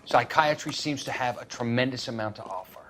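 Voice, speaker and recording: A man's voice: mid-range voice, fairly quick, a bit bright, slight background noise, natural voice. Actor as earnest young man, polite. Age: young adult. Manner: polite, hesitant, deferential. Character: earnest young male medical student, war veteran.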